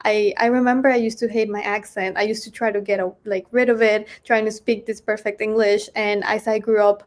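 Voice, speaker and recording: A woman's voice: medium pitch, flat delivery, fairly quick. A woman who grew up partly in Mexico, speaking as a panelist in a chatty way with lots of "you know". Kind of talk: casual panel talk.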